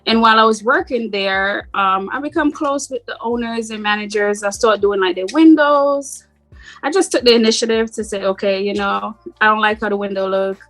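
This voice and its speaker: A middle-aged woman with a slight Jamaican accent, talking about herself in a relaxed, rambling way as an interview guest. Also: mid-range voice, normal speed, faint music behind, poor audio. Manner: relaxed, rambling, frequent "like" fillers. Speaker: boutique owner guest. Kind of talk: casual interview chat.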